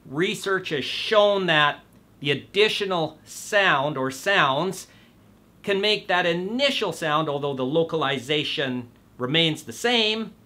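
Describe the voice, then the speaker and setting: Bright-voiced middle-aged man explaining. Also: fairly high pitch, lively intonation, slow. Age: 50s. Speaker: presenter of an audio show. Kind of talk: explanatory talk to camera. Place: small indoor studio room.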